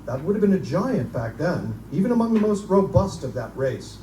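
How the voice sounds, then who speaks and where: A man playing an eccentric, erudite Victorian gentleman, pompous and musing, in a live reading of gothic horror. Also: a little high, very animated, normal speed. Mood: musing, fascinated. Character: eccentric, erudite Victorian Oxford gentleman obsessed with Egyptian relics. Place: live performance in a bookstore. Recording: echoey, distant live recording, faint noise.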